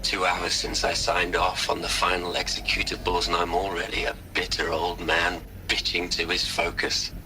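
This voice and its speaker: An actor playing a bitter, grieving older scientist, speaking ruefully and deliberately in a video game audio log. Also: male voice, deep voice, completely flat delivery, pushed and bright, a little hiss or hum, low-quality recording. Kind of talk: scripted audio log monologue. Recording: music behind the voice. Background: music.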